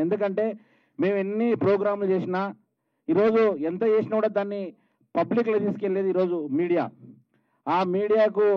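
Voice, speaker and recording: Higher-pitched Telangana Telugu man, dull recording. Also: dry recording. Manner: calls people out by name, conversational. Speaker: film producer. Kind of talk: informal public thank-you speech at a film event. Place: film trailer launch stage.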